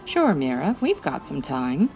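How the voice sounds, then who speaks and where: Breezy low-voiced lady private eye. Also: huge pitch swings, unhurried, vocal fry, darkish tone, soft background music, full character voice. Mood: wry, dismissive. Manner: breezy, wry, quipping. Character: classy wry female private eye, former model. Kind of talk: 1940s radio detective acting.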